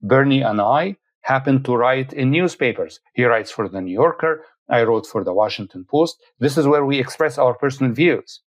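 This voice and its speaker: A man's voice: medium pitch, lively intonation, normal speed, few pauses. A man, a professor whose first language is Arabic, firmly explaining his views as a guest in an interview. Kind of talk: interview answer.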